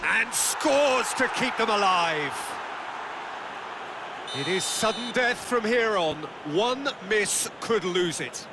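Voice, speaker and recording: A man's voice: sits high, lively intonation, slow. A man doing lead play-by-play for a football video game, speaking in short dramatic phrases. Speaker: football match lead commentator. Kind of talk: scripted video game sports commentary. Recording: commentary under very loud stadium crowd noise. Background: loud football stadium crowd.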